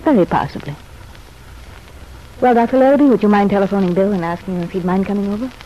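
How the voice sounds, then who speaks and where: An actress playing a contrite, earnest young ex-wife in an old-time radio soap opera, speaking in a confiding, measured way. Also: female voice, medium pitch, mellow, lo-fi sound, close to an everyday voice. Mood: resolved. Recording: noisy, band-limited vintage radio recording.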